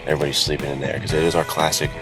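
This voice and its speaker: A man chatting casually as a podcast co-host, with a gravelly voice. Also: very deep voice, little pitch movement, rapid-fire. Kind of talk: casual chat. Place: outdoors while on vacation. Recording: music behind, rough sound quality.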